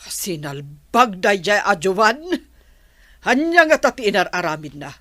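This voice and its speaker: A concerned, easily indignant matron for radio drama. She talks rather slowly with lively intonation, close and dry. Her delivery is expressive and exclaiming. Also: mid-range voice, dry recording.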